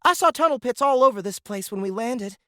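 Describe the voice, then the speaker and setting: A bold, blunt teenage girl recruit in a sci-fi audiobook. The voice is high and very lively in pitch, recorded dry and close. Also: fairly quick, no room sound. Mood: urgent, blunt.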